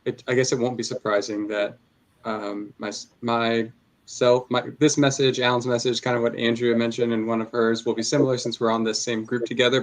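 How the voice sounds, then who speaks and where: A man giving closing thoughts on a panel, hesitant and full of fillers. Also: mid-range voice. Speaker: panel speaker. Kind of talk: panel discussion.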